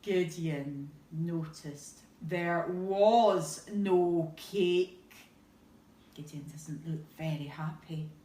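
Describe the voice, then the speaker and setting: A woman's voice: fairly low pitch, very slow, mellow. A woman, a teacher, reads a picture book to young children expressively, drawing out words and adding little asides. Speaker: teacher reading a bedtime story. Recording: roomy echo, distant microphone.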